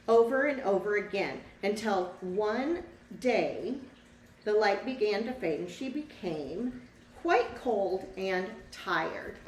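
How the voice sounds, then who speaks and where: A woman teacher reading a picture book to children expressively, with simple, casual explanations along the way. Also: medium pitch. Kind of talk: children's read-aloud with casual asides to the class. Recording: roomy echo, distant mic, faint background noise.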